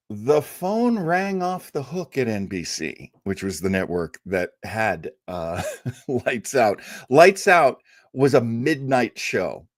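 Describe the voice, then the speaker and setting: Man, mid-range voice, highly varied intonation, enthusiastic storyteller, casual rambling host delivery, dry sound. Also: dry recording. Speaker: host of an old-time radio listening show. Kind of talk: casual, rambling live introduction.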